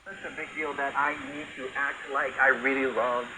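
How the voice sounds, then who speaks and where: A man's voice: mid-range voice, steady tone, moderate pace. A man speaking casually as a panelist in a radio discussion, arguing in a conversational way. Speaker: radio talk show panelist. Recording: noisy shortwave radio broadcast. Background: shortwave static and hiss.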